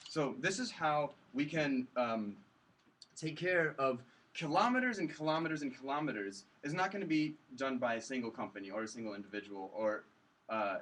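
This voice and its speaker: A man with a husky voice giving a casual, halting live presentation. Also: medium pitch, fairly bright tone. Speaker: project presenter. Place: live event with audience. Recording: distant microphone in live room.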